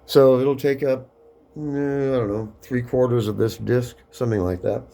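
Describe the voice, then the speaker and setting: A man giving a casual, matter-of-fact hands-on demonstration, narrating each step aloud in an unhurried way. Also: medium pitch, steady tone, warm tone. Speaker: record cutting demonstrator. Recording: clear background noise.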